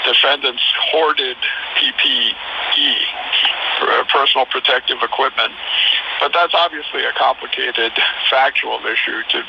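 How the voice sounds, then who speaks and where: A man explaining a legal question in measured speech with many fillers, heard over a shortwave radio broadcast. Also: mid-range voice, gravelly, noisy background. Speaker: legal expert. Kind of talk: explanatory commentary.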